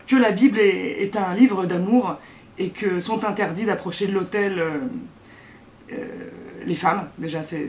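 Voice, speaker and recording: Sarcastic man doing a mocking monologue. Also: high voice. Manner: sarcastic, mocking, halting delivery. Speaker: satirical comedy host. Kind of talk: satirical comedy monologue.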